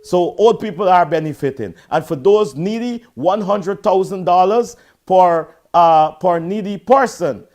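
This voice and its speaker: A man's voice: sits high, unhurried, a little mellow. A Guyanese man hosting a call-in show, firmly correcting a caller he keeps calling "sir". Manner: firm, corrective, repeatedly addresses the caller as sir. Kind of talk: heated call-in debate.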